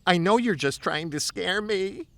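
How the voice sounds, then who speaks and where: A man's voice: high-pitched, lively intonation, unhurried, creaky, no room sound, pitched above the performer's own voice, full character voice. A man voicing a scared, indignant boy in a spoken story, bellowing in agitation. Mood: scared anger. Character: scared, indignant boys protesting a prankster's scary tales.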